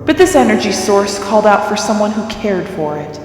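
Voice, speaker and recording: A woman's voice: medium pitch, sing-song, brisk, close to an everyday voice. Lady doing a resentful evil hedgehog girl, taunting, big echo, faint music, audio drama. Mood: taunting, menacing. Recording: echoey, long reverb, faint music behind.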